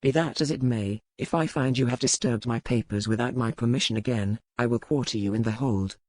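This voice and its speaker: Woman acting a low-voiced, brooding pirate lord. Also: a little fast, a little mellow, no room sound. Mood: cold menace. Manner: formal, archaic phrasing. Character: brooding, menacing pirate lord, adult man, curt and proud. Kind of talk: audiobook character dialogue.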